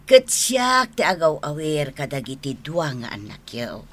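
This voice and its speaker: A woman's voice: sits low, big pitch swings, measured pace, exaggerated voice. A woman acting a worried mother in an Ilocano radio drama, plaintive and admonishing, with an Ilocano accent. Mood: plaintive reproach. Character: worried, lamenting mother pleading with her wayward grown son.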